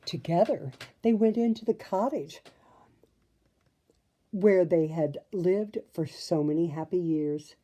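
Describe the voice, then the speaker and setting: Slow, very mellow woman storyteller. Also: on the low side. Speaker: storybook reader. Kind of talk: expressive children's story reading with asides to listeners.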